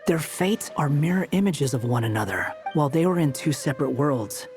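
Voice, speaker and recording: An actor playing an aloof, sardonic young man in a video game, with a cool, smug, deliberate manner. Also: fairly high pitch, expressive, darkish tone, faint music behind, lightly acted.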